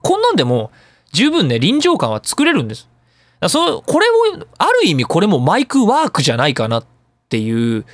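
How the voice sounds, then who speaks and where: A man hosts a casual radio talk in a very animated, swooping voice. He talks quickly and emphatically, like someone explaining his craft, and the sound is dry and close. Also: fairly high pitch, dry recording. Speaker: radio show host and sound director.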